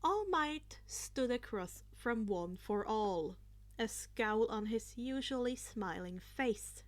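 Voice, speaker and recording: A woman narrating fan fiction in an expressive storytelling style. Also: a little high, slow pace.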